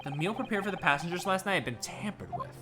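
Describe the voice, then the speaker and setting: A woman voicing a calm, superior gentleman detective who talks in a deliberate, lecturing way, over game music. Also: fairly low pitch, lightly acted. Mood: lofty. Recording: game music playing underneath.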